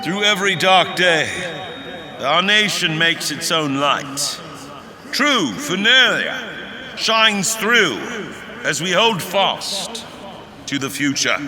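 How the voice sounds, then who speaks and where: A man's voice: high-pitched, expressive, slow pace, pauses often, a crowd in the background. A man playing a grandiose, solemn leader delivering stately, zealous oratory in a theatrical scripted speech. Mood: rousing, fervent. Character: grandiose male leader rallying a nation with solemn zeal. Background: music.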